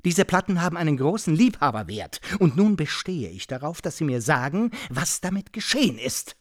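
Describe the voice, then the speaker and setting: An actor playing an indignant, self-righteous, pedantic homeowner in a radio play, speaking pompously and with heavy emphasis. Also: male voice, on the high side, very animated, normal speed, no room sound, clean studio sound. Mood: stiffly accusing. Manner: pompous, emphatic, repeating key phrases for weight. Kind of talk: German radio play acting.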